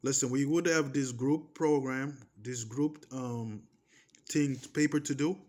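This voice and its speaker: A man's voice: medium pitch, steady tone, measured pace, darkish tone. Portuguese-accented man, emphatic and repetitive. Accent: Portuguese-accented English. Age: 30s. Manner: emphatic and repetitive, restarting phrases and pressing points with "okay?". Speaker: vlogger. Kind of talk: casual personal monologue to camera.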